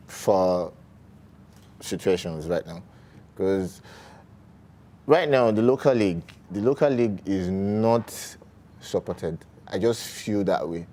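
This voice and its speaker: A man with a Nigerian accent, chatting casually as a guest on a sports talk show, relaxed and full of fillers. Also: low-pitched. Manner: relaxed, uses fillers like "you know" and "man". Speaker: studio guest. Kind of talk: casual chat.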